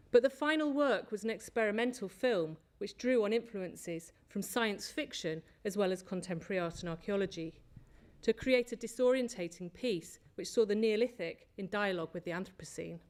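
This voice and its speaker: A woman of middle pitch gives a conference presentation from a lectern. She reads from prepared notes at a measured pace. She corrects the odd slip as she goes. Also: a little mellow. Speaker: conference speaker, lecturer. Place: lecture hall.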